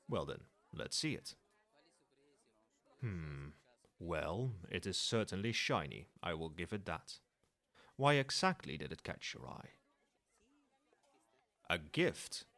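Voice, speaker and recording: A man's voice: deep voice, a bit bright, exaggerated voice. A man playing a proud old dragon in human form in an ASMR roleplay, speaking in formal, lofty and teasing phrases, with fondness. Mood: brisk, commanding.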